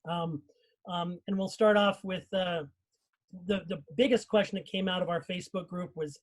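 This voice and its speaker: High-pitched man hosting casually. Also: steady tone, moderate pace, poor audio. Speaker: host of a cast live stream. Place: group video call.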